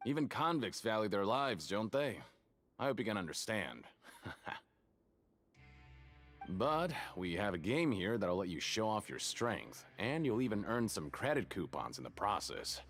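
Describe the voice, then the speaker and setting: A man's voice: fairly low pitch, big pitch swings, a little slow, a bit bright, full character voice. An actor playing an affable, slick attendant running a game stall in a video game, with chummy salesman patter. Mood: apologetic, amused.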